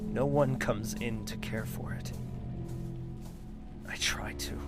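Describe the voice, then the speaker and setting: A man's voice: medium pitch, steady tone, slow pace, lightly acted. A man playing a grieving, bitter young prince in an audio drama, brooding and intense. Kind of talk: audio drama acting with narration. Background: music.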